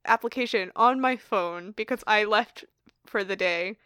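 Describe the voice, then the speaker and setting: A woman's voice: mid-range voice, measured pace, bright, forceful tone, clean tone, no room sound. A young woman co-hosting a podcast, chatting casually, saying "like" often and laughing easily. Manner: chatty, laughs a lot, lots of "like". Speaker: podcast co-host. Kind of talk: casual chat.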